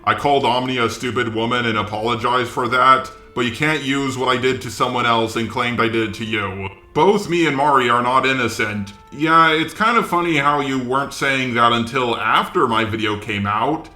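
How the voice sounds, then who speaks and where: A man's voice: medium pitch, normal speed, hardly any pauses, pushed and bright, reverberant. A man delivering scripted commentary in a sarcastic, exasperated way, with swearing. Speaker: commentary video creator.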